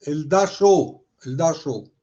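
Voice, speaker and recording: A man's voice: fairly high pitch, measured pace, mellow. An older man with a Brazilian accent hosting a casual, conversational interview, with slightly dull sound. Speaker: interviewer, host.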